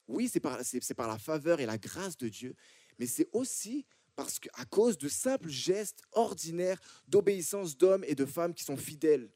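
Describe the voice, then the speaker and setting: A man preaches to a congregation in French with an accent from France. His voice is fairly high for a man, with a soft, mellow tone, and it sounds very dry and close. He often circles back, repeating words and restarting phrases as he builds his point. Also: no room sound. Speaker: church preacher. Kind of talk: sermon to a congregation.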